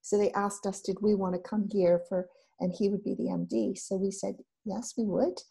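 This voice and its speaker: A middle-aged Canadian woman talks easily as a guest, her voice mellow and warm. She rambles a little, with ums and restarts, and keeps the tone relaxed. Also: medium pitch, moderate pace, creaky, husky. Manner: relaxed, chatty, laughs often, many ums and restarts. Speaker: guest entrepreneur. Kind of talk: casual interview chat.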